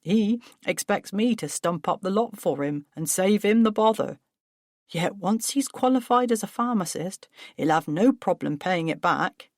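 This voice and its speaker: A woman's voice: mid-range voice, lively intonation, a little slow, dry recording, studio quality, pitched above the performer's own voice. A woman voicing a flustered, self-pitying middle-aged businessman who turns defensive and agitated. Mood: rueful. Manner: agitated, defensive, rising to outbursts.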